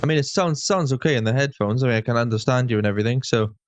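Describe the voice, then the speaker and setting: A man's voice: medium pitch, racing, dry recording. A man with a Swansea Welsh accent, a guest guitarist chatting casually and easygoing, often restarting his sentences. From Wales. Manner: easygoing, hesitant with repeated restarts. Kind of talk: casual chat.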